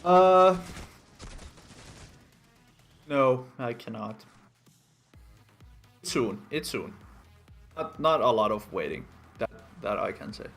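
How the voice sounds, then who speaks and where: Male dev host, casual and halting, mid-pitched, faint music behind. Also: big pitch swings, gravelly, fairly bright tone. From Finland. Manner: hesitant, searching for English words, self-deprecating. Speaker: game developer hosting a community stream. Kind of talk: casual live-stream chat answering viewer questions.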